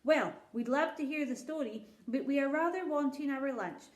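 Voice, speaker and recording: A woman's voice: high voice, moderate pace, voice pushed up, lightly acted. Vain, impatient storybook king, regal and pompous, voiced by a woman; echoey room. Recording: roomy echo.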